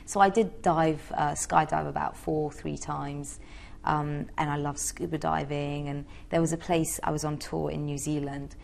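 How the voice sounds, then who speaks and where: A woman's voice: low voice, fairly even intonation, a little slow, vocal fry, a little mellow, a little hiss or hum. A young woman from Georgia answering interview questions in a chatty, hesitant way with many fillers. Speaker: interviewee, singer.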